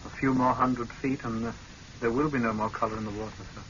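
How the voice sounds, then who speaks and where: A man's voice: mid-range voice, fairly even intonation, quick pace, fairly bright tone, natural voice. Earnest young scientist, played by a man, muffled old recording. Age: young adult. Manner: formal, deferential, restrained. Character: earnest, dutiful young male scientist, deferential. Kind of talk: vintage radio drama acting. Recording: muffled, noisy vintage radio recording.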